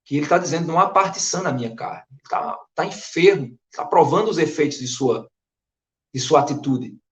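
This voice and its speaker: A Brazilian Northeastern pastor delivers an earnest, emphatic sermon, his voice a little hoarse from a flu. He speaks in a fairly high register for a man. The sound bounces around an echoey room. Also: fairly quick. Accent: Brazilian Portuguese, Northeast. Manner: earnest, emphatic preaching with rising stresses. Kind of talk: expository Bible sermon.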